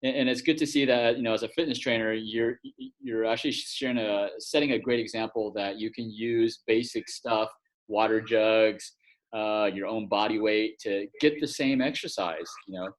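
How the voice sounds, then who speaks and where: A man hosting a casual interview, easygoing and quick to laugh, asking long rambling questions. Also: fairly low pitch, steady tone. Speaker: host, acupuncturist.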